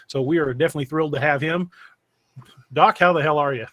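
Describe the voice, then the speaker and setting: Higher-voiced man hosting, folksy and chummy. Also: fast talker, a bit bright. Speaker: podcast host. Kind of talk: casual livestream hosting.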